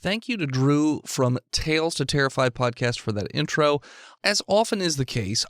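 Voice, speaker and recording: A man's voice: fairly high pitch, a bit bright, no echo, studio quality. A man hosting a podcast, making casual announcements to listeners. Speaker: podcast host.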